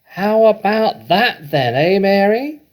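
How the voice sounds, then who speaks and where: A man voicing a boastful, cackling old grandmother who shouts and crows in a storybook reading. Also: high voice, expressive, slow, pitched above the performer's own voice. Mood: boastful shouting. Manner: shouting, crowing, taunting.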